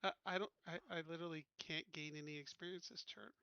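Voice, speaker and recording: A man's voice: a little high, fast, creaky, sharp tone, clean tone, no room sound, dull audio. A man chatting casually as a player in a tabletop roleplaying game.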